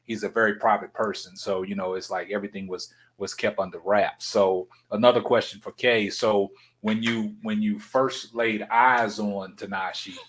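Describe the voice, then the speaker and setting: A male podcast host asking questions in a relaxed, easygoing way, with lots of "you know"s. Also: lowish voice, moderate pace. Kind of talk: casual chat.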